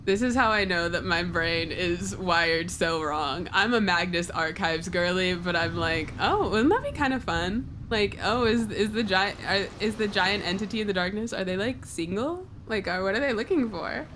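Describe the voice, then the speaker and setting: Woman, casual podcast chat, playful, irreverent, mid-pitched voice, faint ambient noise. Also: moderate pace, hardly any pauses, fairly bright tone. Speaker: podcast panelist.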